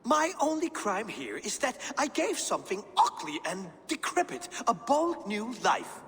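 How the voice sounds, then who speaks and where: An actor playing a pompous settler who sees himself as an artist, defending himself theatrically. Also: male voice, very high voice, wildly swooping pitch, unhurried, a bit bright, breathy edge, heavily acted. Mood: indignant. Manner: pompous, self-righteous, theatrical. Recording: long hall reverb, faint noise.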